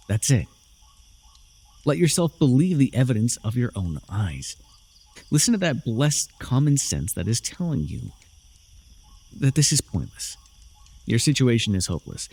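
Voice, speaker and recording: Fantasy roleplay villain: proud, menacing warlord, male performer. Raspy, very expressive, sardonic and persuasive, theatrical delivery aimed right at the listener. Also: medium pitch, exaggerated voice. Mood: earnest, pleading. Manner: theatrical, sardonic, swinging from soft coaxing to forceful declamation. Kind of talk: immersive fantasy audio roleplay, addressed to the listener.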